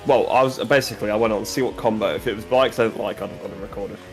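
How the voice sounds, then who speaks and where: A man's voice: lowish voice, rapid-fire, soft background music. An English man chatting casually with a friend on a game stream call, making dry remarks.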